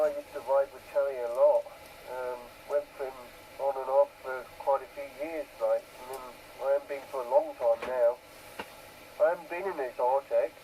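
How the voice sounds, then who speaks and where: Male CB operator, slightly high voice, casual rambling chat, repeats himself, light noise on the transmission. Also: warm tone, poor audio. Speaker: CB radio operator. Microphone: two-way radio microphone. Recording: CB radio transmission with background noise.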